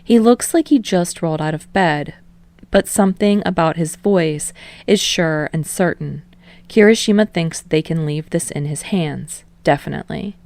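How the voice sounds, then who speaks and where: Lady reading an audiobook, lowish voice w some fry, really dry recording. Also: normal speed, darkish tone, no echo. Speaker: audiobook narrator of fan fiction. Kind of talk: scripted story narration.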